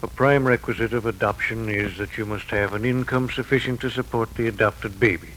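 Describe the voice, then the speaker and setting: An actor playing a stern, unyielding judge in a formal, measured manner, in 1940s radio drama style. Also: male voice, lowish voice, a bit bright, close to an everyday voice. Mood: stern, official. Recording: noisy old 1940s radio recording.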